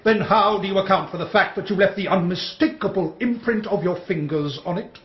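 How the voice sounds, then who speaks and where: An actor with a British accent playing a relentless, theatrical defence barrister in a radio courtroom drama, incisive and sardonic, with deliberate emphatic phrasing. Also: male voice, sits high, lightly acted. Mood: pressing, stern. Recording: dull, roomy radio drama recording.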